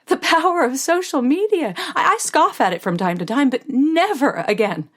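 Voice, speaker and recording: A middle-aged woman narrating a first-person audio drama as a mother, tender and softly emotional, sometimes sinking to a whisper. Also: mid-range voice.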